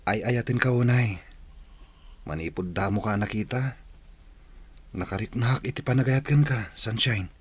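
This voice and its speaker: A man's voice: on the low side, normal speed, pauses often, breathy edge. A man acting an earnest young village man in love, sincere and emphatic, in a radio drama. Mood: earnest, lovestruck.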